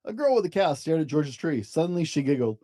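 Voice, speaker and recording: Animated, mellow children's storyteller. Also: mid-range voice, quick pace. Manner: animated, gentle, addressing young children. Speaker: storyteller reading a children's book aloud. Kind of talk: expressive children's picture-book narration.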